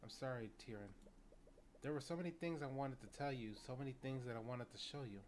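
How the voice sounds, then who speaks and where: A man's voice: medium pitch, brisk, darkish tone, a little hiss or hum, natural voice. A man voicing a loving mother's solemn farewell letter in a story game. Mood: tender, solemn.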